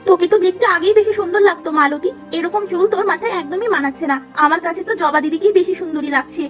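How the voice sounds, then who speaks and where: A woman's voice: extremely high pitch, fast talker, soft background music, voice pushed up. A woman voicing blunt, gossipy village girlfriends who criticize openly.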